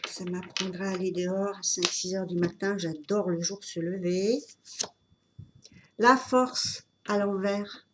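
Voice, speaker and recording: A woman with a cold giving an unscripted tarot reading, talking in a halting way with many fillers and restarts. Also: medium pitch, fairly even intonation, a little hiss or hum. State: has a cold. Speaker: tarot reader.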